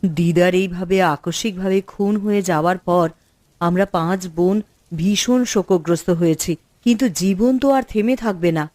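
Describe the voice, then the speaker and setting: A woman playing a responsible, earnest eldest sister in a cartoon, speaking in a measured, instructive way. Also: fairly low pitch, darkish tone, no room sound, lightly acted. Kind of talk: Bengali cartoon story acting.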